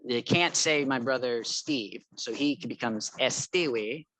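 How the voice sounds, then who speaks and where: A young man from Thailand speaks at a medium pitch in a casual group chat. He explains his thoughts in a loose, rambling way, qualifying them as he goes. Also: unhurried, a bit bright, a little hiss or hum, low-quality recording. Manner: rambling, tentative, hedged explanations. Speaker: chat participant.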